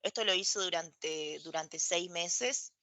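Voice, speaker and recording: A woman's voice: lowish voice, slow pace, sharp tone, no room sound. A woman, explaining her experience as a podcast guest and checking in with tag questions. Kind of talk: casual conversation, explaining. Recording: dry, dull lo-fi audio.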